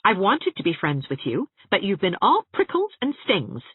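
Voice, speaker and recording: A woman voicing an earnest, frank young woman schoolteacher in an audiobook, speaking high and pleading. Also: huge pitch swings, moderate pace, breathy edge, no room sound. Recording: tinny phone-like sound.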